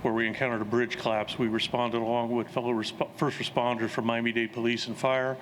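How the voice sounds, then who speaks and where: A man's voice: medium pitch, flat delivery, fairly quick, a little hiss or hum, poor audio. A man giving a formal press statement as an emergency official.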